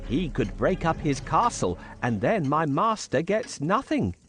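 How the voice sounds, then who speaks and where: A man voicing a sly, flattering talking cat in a children's cartoon, with a polite, theatrical, wheedling manner. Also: a little high, a little slow, soft background music. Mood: sly, coaxing. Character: sly, flattering clever talking cat, servant to his master. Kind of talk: children's cartoon fairy tale acting.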